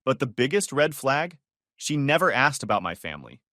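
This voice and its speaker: Wry man narrating with a lively pitch. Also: moderate pace, no echo, clean studio sound. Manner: sarcastic, wry storytelling. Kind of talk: first-person story narration.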